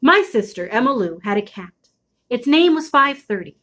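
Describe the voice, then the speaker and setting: A woman reading a children's chapter book aloud expressively, with dramatic pauses and drawn-out emphasis. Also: a little high, very animated, fairly bright tone. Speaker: storybook read-aloud host.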